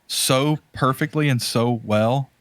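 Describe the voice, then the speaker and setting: A man with a mid-pitched voice talks as a podcast host, recorded dry with almost no room sound. He gives casual, opinionated commentary on films, rambling and circling back on phrases as he drives each point home emphatically. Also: slow, no room sound.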